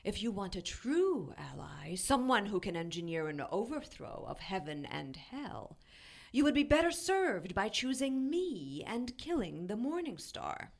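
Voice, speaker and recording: A woman's voice: mid-range voice, sing-song, gravelly, heavily acted. A woman playing a proud, scheming female demon in an audio drama, melodramatic and desperate. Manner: melodramatic, drawn-out pleading.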